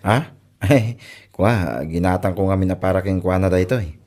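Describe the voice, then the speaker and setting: A man's voice: deep voice, normal speed, a little mellow, natural voice. Man playing patient young Ilocano suitor. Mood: patient, courting. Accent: Ilocano Filipino. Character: patient young male suitor bringing treats. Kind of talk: Ilocano comedy drama acting.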